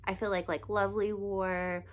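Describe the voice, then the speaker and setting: A woman's voice: mid-range voice, flat delivery, moderate pace, a little hiss or hum. A young woman who is congested and sniffly, chatting casually and gushing about books she read, with many ums and likes. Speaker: book vlogger. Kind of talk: casual book review chat.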